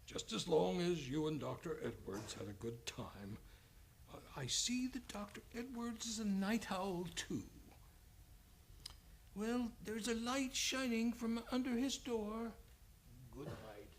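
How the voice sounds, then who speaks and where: A woman playing a nosy, insinuating senior doctor in an old-time radio play, with a creaky voice and a drawling manner. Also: medium pitch, lively intonation, takes it slowly, slight background noise. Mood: sly, insinuating.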